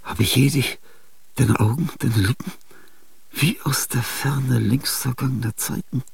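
An older man acting in a radio play, with a husky, gravelly voice and a halting, dreamlike monologue that slips into whispers. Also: medium pitch, no echo, distant mic. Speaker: radio drama actor.

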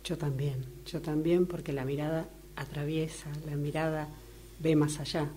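A woman speaks casually to someone facing her. Her voice is fairly low and mellow, recorded with a faint hum. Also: little pitch movement, moderate pace. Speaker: radio drama workshop participant. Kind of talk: casual face-to-face chat. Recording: humming, faintly noisy recording.

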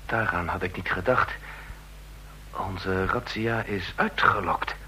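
A man's voice: low voice, takes it slowly, pushed and bright, slightly rough, exaggerated voice. An actor playing an earnest, worried male official seeking help in a radio drama. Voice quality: earnest, anxious. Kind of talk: vintage radio drama acting.

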